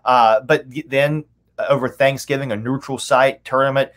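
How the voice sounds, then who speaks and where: A man's voice: medium pitch, steady tone, normal speed. A man in his thirties giving conversational sports commentary as a guest on a video call from a room at home. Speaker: guest, radio play-by-play announcer.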